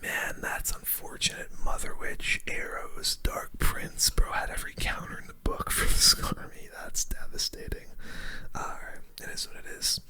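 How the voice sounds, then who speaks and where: Casting: ASMR gamer for play-by-play, fully whispered, crisp sibilant brightness, casual slangy muttered reactions. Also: moderate pace. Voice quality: breathy unvoiced whisper. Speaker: gaming video host. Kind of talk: whispered ASMR gaming commentary.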